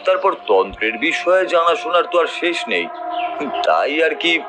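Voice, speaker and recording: A man's voice: a little high, very animated, moderate pace, background noise. A man narrating a suspense tale in the first person, in a dramatic scripted style.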